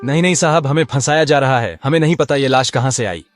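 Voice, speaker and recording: A man playing a greedy, easily rattled village landowner in a cartoon story, hurried and urgent. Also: medium pitch, soft background music, lightly acted. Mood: panicky.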